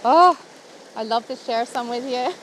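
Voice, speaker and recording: Chatty American woman vlogging outdoors; a touch high, quick to laugh, faint outdoor hiss behind. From USA. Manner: chatty and giggly, talking to camera while cooking. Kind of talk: casual outdoor vlog chat. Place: outdoors by a campfire in the woods.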